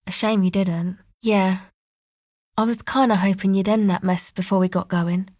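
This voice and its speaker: A woman playing a laid-back, sardonic young woman in a video game, speaking in a casual, slangy, deadpan way. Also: medium pitch, dry recording, clean studio sound, lightly acted. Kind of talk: video game character dialogue.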